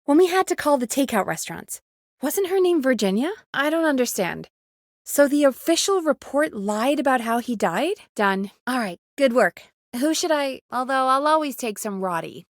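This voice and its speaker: A young female radio producer in a video game, with a high voice and a close, dry sound. She is earnest and capable but anxious, speaking naturally with small hesitant asides. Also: expressive, normal speed, no room sound. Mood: puzzled, concerned.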